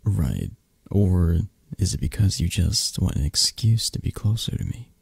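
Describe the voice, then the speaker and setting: A man playing a possessive, flirty mafia boss who dotes on his lover, murmuring softly and intimately in an ASMR romantic roleplay, with a breathy, husky, hesitant and reassuring delivery. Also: deep voice, big pitch swings, measured pace, no echo, full character voice. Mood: teasing, possessive.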